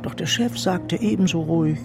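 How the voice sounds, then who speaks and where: An actor playing a deferential junior investigator in a radio crime drama, polite and a little hesitant, deliberate when he tells a tale. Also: high-pitched, gravelly, over music. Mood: suspenseful.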